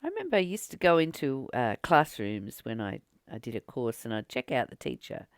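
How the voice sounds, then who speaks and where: A woman teaches from a spiritual text in a low voice with a lively, swinging melody. She reads passages aloud and reflects on them deliberately, pausing and repeating phrases for emphasis. The recording is close and dry, with no room sound. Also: no room sound. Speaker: spiritual teacher and channel host. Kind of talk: spiritual book study, reading aloud with reflective commentary.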